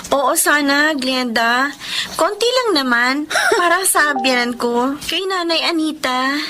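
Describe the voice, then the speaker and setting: Humble young-sounding wife, very high pitch, earnest and plaintive, radio drama heroine who stands up for herself. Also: female voice, expressive, takes it slowly, noisy background. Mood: apologetic, pleading. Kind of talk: Filipino radio drama acting.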